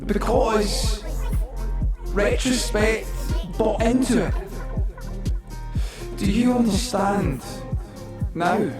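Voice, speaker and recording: A man's voice: high-pitched, very slow, long pauses. A man performing a brooding personified memory that preaches in rhythmic, incantatory rhyme in an audio play. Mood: seductive, insistent. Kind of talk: poetic spoken-word monologue in an audio play. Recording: very echoey, distant voice under loud music. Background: music score.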